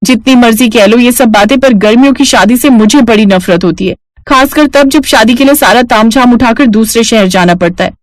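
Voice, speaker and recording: A woman's voice: on the high side, fairly quick, hardly any pauses, no echo, natural voice. Fretful, complaining young wife played by a woman. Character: reluctant, fretful city daughter-in-law, young mother. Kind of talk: cartoon family story acting.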